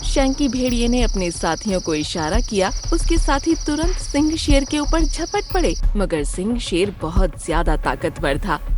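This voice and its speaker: A woman's voice: medium pitch, noisy background. A woman narrating a scripted children's cartoon story.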